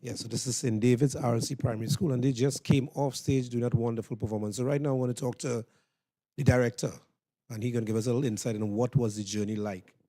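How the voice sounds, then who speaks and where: Male radio interviewer, mellow tone, live backstage chat. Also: medium pitch, steady tone, quick pace.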